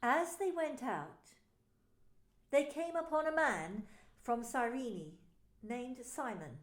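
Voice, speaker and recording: A woman pastor narrating a scripture story in a slow, solemn reading. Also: high-pitched, lively intonation, reverberant.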